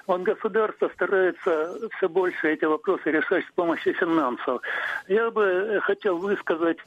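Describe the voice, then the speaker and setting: A man's voice: high voice, normal speed, no room sound. Caller, man in his 80s, formal and courteous, on a dry, muffled phone line. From Russia. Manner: formal and polite. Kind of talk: call-in comment.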